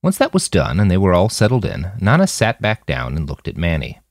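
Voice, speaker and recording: A low-pitched man reads fiction as a podcast narrator. His storytelling swings widely in pitch and is full of expression. The sound is dry, with very little room in it. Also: huge pitch swings, brisk, dry recording.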